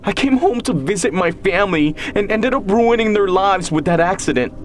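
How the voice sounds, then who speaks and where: A man's voice: sits high, animated, moderate pace, pitched above the performer's own voice. A man voicing a distraught, unstable woman in an audio story, tearful and accusing in manner. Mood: angry, accusing. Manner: sobbing, snapping, shouting.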